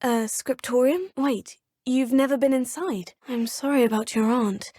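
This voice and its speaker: An earnest young student witch, courteous and formal in every line. Her voice sits fairly high and is recorded very dry, close in a studio booth. She speaks with measured calm in game dialogue. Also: no echo. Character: young female student witch, polite and composed. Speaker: player character. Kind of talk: video game voice lines.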